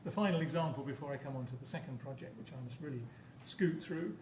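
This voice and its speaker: A man's voice: mid-range voice, quick pace, a little mellow. Echoey, distant male academic lecturer. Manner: hesitant, repeats words and phrases while explaining. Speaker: university professor lecturing. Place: lecture room at a conference. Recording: echoey room, distant mic, background noise.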